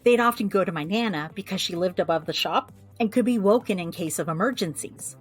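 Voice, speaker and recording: A woman's voice: mid-range voice, brisk, slightly rough, soft background music, lightly acted. An actress playing a chatty, wry young American woman who runs a magical family clinic, in an audio drama. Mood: reflective, fond. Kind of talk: audio drama, recording a personal log.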